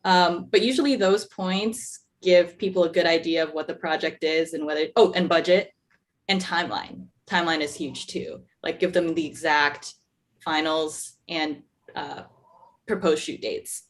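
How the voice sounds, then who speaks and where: A woman's voice: deep voice, steady tone, moderate pace. A woman moderating a panel Q&A, calling on people by name and answering questions herself. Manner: friendly, calls on people by name. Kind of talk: hosting a panel Q&A.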